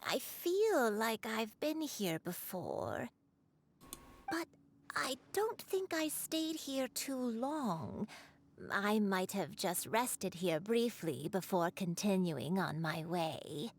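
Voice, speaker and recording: A woman voicing a kindly, forgetful old granny in a video game, pondering gently as she speaks. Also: mid-range voice, very animated, takes it slowly, slight background noise.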